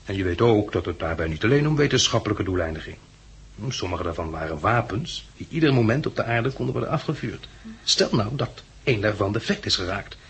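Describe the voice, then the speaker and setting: An actor playing a calm, protective male survivor of a catastrophe in a 1960s Dutch radio drama. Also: on the low side, a little fast, slightly rough, heavily acted. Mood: grave, explaining. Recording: faintly noisy 1960s radio recording.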